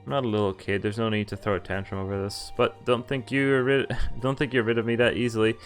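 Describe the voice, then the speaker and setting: A man with a fairly low voice streams a visual novel, reading its narration aloud. His delivery is expressive but informal, open to offhand remarks. Faint music plays behind him. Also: fast. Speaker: game streamer. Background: game music.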